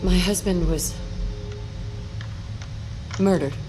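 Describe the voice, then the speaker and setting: A grieving but determined young mother, speaks slowly and very gravely in a low female voice. It is halting, earnest video game drama with music behind it. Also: fairly even intonation. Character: grieving, determined mother searching for her kidnapped baby. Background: background music.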